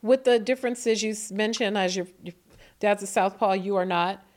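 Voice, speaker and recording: A woman co-hosting a boxing podcast, lively and playful with colloquial phrasing. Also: mid-range voice, moderate pace. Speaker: podcast co-host. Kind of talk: podcast interview.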